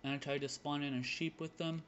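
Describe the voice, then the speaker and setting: A man's voice: fairly high pitch, steady tone, a little fast, a little hiss or hum. A young man giving casual gaming commentary, thinking aloud in a hesitant way and muttering to himself. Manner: hesitant, muttering counts to self. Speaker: gaming video host. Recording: dull lo-fi mic, loud piano music. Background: loud piano background music.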